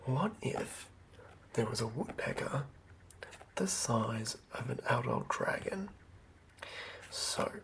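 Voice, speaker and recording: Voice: mid-range voice, gravelly. Whispering, crackly-voiced ASMR host. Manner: hushed, rambling, thinking aloud. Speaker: ASMR host, tabletop game master. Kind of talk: ASMR, unscripted whispered ramble.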